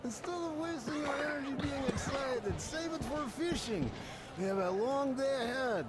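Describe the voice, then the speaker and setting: A man's voice: very high voice, animated, moderate pace, over loud music. An actor playing a weary, dismissive fisherman who grumbles, in a post-apocalyptic video game. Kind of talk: video game cutscene acting.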